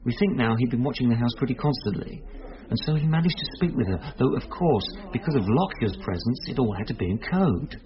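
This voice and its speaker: An actor playing a calm, reassuring police detective who explains things gently in a radio drama. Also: male voice, medium pitch, a little fast, few pauses, a crowd in the background, natural voice. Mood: matter-of-fact. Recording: slightly dull radio recording.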